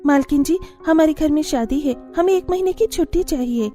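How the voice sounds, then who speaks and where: High, earnest young daughter-in-law, voiced by a woman. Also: normal speed, warm tone, faint music behind, close to an everyday voice. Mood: respectful. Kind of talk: cartoon story dialogue.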